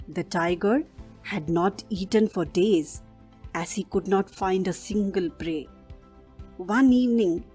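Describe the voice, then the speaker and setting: A woman storyteller narrates for young children in an expressive way, with a slightly husky edge to her mid-pitched voice. She speaks as if to a small audience of little listeners, over soft music. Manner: asks young listeners questions.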